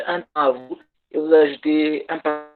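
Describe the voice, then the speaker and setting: A man whose first language is English, giving a workshop talk in halting French over a video call and pausing to search for words. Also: on the high side, level tone. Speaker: conference speaker. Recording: tinny phone-like call audio.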